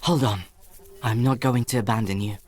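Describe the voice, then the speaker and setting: A man's voice: mid-range voice, fairly even intonation, normal speed, natural voice. A man voicing a brave, humble huntsman's boy in a fairy-tale game, earnest and plain-spoken. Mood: determined. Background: music.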